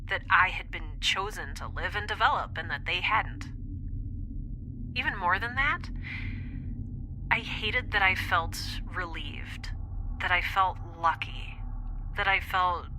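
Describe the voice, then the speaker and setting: Woman acting young scientist lady doing an anxious audio diary, very bright crisp-edged voice, kinda low, faint noise behind. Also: rough recording. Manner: hesitant, confessional, thinking aloud. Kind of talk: intimate audio drama monologue.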